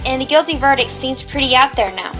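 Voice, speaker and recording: A woman's voice: sits high, animated, quick pace, over music. A woman playing a perky, supportive young rabbit police officer in an amateur audio drama. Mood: encouraging.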